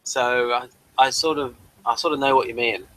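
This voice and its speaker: Male review co-host, bright and crisp-edged, mid-range, informal and a bit rambling. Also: medium pitch, steady tone, moderate pace, poor audio. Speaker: co-host of a sci-fi TV episode review. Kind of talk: casual chat reviewing slides.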